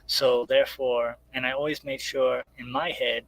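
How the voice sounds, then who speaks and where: A man's voice: medium pitch, flat delivery, moderate pace, a bit bright, poor audio. A man speaking casually as an interviewed writer, explaining step by step and acting out imagined remarks as he goes. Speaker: guest comic book writer.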